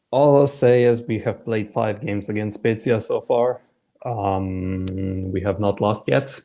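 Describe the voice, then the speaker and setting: A man's voice: fairly low pitch, slow, dark tone. A man co-hosting a football podcast, joking in a wry, dry way. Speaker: podcast co-host. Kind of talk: casual football chat.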